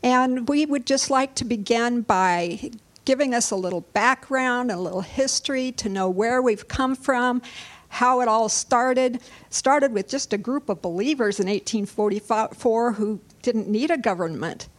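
A woman's voice: on the high side, a little slow. Earnest woman moderating a church panel. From Michigan, USA. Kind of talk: hosting a church panel discussion.

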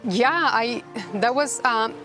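A woman from Rica, a guest telling her story in a halting, earnest way with many restarts. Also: a little high, bright, forceful tone, faint music behind. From Costa Rica. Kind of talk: personal testimony interview.

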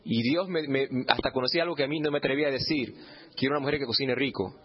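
A man with an average-pitched voice shares a personal church testimony. He tells it as humorous storytelling and playfully acts out dialogue. The sound is somewhat dull. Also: fast, breathy edge.

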